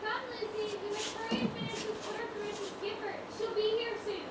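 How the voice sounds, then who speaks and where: A woman's voice: extremely high pitch, level tone, fast. A woman on stage playing a prim, wistful widowed dress-shop owner, full of old-fashioned exclamations. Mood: busy, fretful. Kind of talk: amateur stage acting, one-act school play. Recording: roomy stage, distant mic, clear noise.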